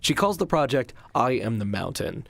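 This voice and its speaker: A man narrating a scripted radio feature as a reporter, in a measured, even storytelling delivery. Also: medium pitch, gravelly.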